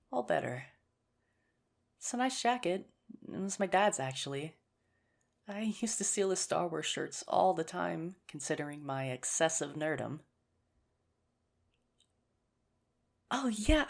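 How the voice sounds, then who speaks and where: A woman acts out a nerdy young teacher who is shyly courting a crush. The voice is low for a woman, with plenty of fry. The delivery is earnest, hesitant and gently self-mocking, in an intimate ASMR romance roleplay. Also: full character voice. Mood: playful, caring.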